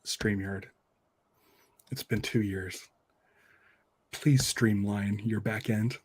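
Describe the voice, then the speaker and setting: A man's voice: fairly low pitch, steady tone, slow, husky. A man co-hosting a podcast, chatting casually with an informal, dryly joking manner. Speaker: podcast co-host. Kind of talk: casual chat.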